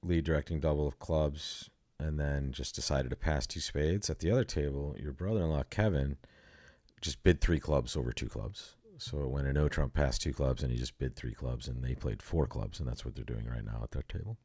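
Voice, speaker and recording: A man's voice: rumbling low, fairly quick, darkish tone, no echo. A man hosting casual live bridge commentary, chatty and joking at his own expense. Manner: joking, chatty, self-deprecating.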